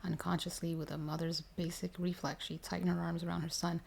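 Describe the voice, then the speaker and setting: A woman with a lowish, creaky voice reads a story aloud for ASMR. Her delivery is soft and slips into whispering, with a narrow melodic range. A faint background noise sits under the voice. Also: flat delivery, brisk. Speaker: reader of paranormal stories for ASMR. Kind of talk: ASMR reading aloud of a narrative book.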